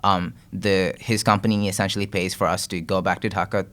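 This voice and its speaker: Low-voiced young man from Bangladesh. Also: flat delivery, fairly quick, a bit bright. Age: young adult. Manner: earnest, reflective, many fillers and restarts. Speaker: guest content creator. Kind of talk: casual interview talk.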